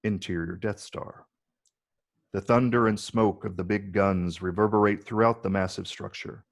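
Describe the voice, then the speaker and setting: Gravelly, deep-voiced male script narrator. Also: steady tone, moderate pace. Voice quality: gravelly, husky. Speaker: narrator reading stage directions.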